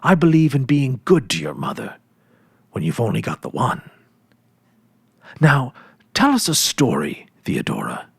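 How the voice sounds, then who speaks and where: Man acting as ten-year-old farm boy, boastful, eager, emphatic, swooping pitch, dry storybook recording. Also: huge pitch swings, measured pace, gravelly, long pauses, husky, dry recording, full character voice. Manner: emphatic, earnest, know-it-all.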